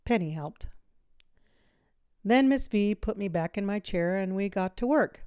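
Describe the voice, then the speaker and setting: A woman reading a children's story aloud in expressive, measured, clearly phrased narration. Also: mid-range voice, no echo, studio quality. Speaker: audiobook reader, teacher.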